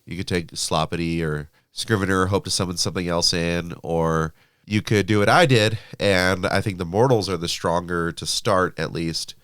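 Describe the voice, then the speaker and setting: A man's voice: deep voice, moderate pace, fairly bright tone, clear, resonant tone, no echo, studio quality. A man co-hosting a podcast, chatting casually about tabletop wargaming with frequent fillers, a little sniffly from allergies. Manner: chatty, filler-heavy, laughs easily. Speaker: podcast co-host.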